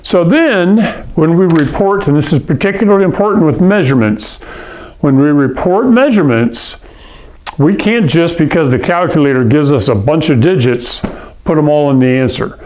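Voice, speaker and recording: A man's voice: a little high, animated, moderate pace, a little mellow, poor audio. A man from Hawaii teaching a science lesson, explaining patiently and often checking in with "okay?" and "all right?". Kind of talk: classroom lecture.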